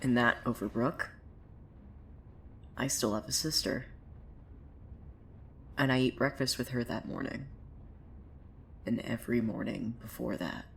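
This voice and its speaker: A woman as an unhinged, very low-voiced cult preacher. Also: vocal fry, slight background noise, full character voice. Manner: theatrical, swinging between hushed brooding and manic outbursts. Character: unhinged, bitter, foul-mouthed cult preacher with visions. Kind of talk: dramatic monologue in an audio fiction podcast.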